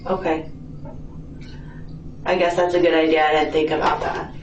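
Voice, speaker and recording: A woman's voice: deep voice, little pitch movement, moderate pace, recorded from a distance, background noise. Lady cop doing an interview, casual n patient, nudging questions, muffled echoey room recording. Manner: patient, casual, reassuring, prompting with leading questions. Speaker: police interviewer. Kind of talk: conversational police questioning. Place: indoor police interview room. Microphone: distant room recording microphone.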